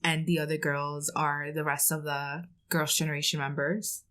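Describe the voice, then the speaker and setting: A woman's voice: deep voice, little pitch movement, moderate pace, sharp tone. A woman co-hosting a pop culture podcast in a casual, conversational style. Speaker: podcast co-host.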